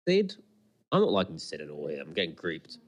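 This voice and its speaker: Excitable, lower-voiced man with swooping pitch. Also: a little fast, lo-fi sound. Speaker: podcast co-host. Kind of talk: casual episode watch-along commentary.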